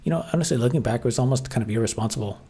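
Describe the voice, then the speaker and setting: Casual streamer, male, husky mid-pitched voice, hesitant and rambling, answers chat between thoughts. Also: very fast, dark tone. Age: adult, grew up watching 1990s TV. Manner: hesitant, many fillers and restarts, musing. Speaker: livestream host reading chat.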